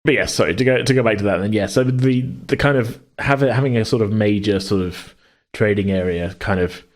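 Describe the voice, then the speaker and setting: A man co-hosting a podcast in a casual worldbuilding chat, rambling as he thinks out loud. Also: lowish voice, brisk. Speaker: podcast co-host.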